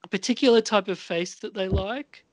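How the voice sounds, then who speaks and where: A woman's voice: mid-range voice, moderate pace, over music. A woman in her forties chatting casually as a podcast co-host, rambling a little hesitantly. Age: 40s. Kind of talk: casual chat.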